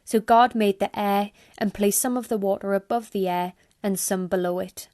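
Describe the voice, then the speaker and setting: A woman's voice: mid-range voice, steady tone, normal speed, darkish tone, dry recording, studio quality. A woman giving a scripted reading of scripture. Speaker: volunteer scripture reader.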